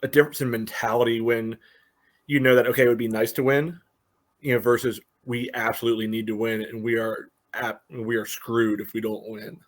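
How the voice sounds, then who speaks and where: A man's voice: medium pitch, level tone, brisk. A man co-hosting a soccer podcast, reasoning casually and hesitantly, with many filler phrases. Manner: hesitant, leans heavily on "you know". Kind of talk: casual sports discussion.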